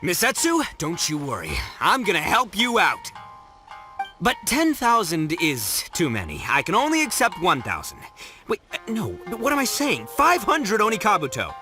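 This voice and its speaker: A man's voice: high voice, huge pitch swings, normal speed. A man voicing a brash, dim but big-hearted young man in a video game, boisterous, slangy and stammering, with theatrical flourishes. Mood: noble, flustered. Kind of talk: animated game voice acting. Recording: loud background music.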